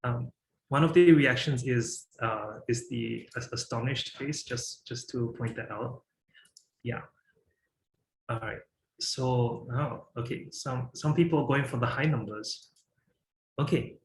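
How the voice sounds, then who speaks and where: Man, 30s, presenting, lots of fillers and restarts, echoey room, faint hiss. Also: mid-range voice. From Singapore. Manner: halting, many fillers and restarts. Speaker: guest speaker.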